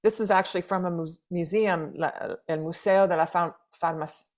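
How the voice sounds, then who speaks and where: A woman's voice: fairly low pitch, steady tone, moderate pace. A woman in her fifties, a playwright raised in the United States, talking through her ideas in an interview with many restarts and repeated words. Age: 50s. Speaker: playwright, guest. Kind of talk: reflective interview conversation.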